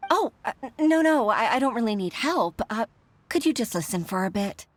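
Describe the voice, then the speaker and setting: A woman's voice: on the high side, big pitch swings, moderate pace, slight background noise. A woman playing a grateful, humble middle-aged mother and secretary in a video game, heartfelt and slightly hesitant. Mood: flustered. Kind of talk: video game character acting.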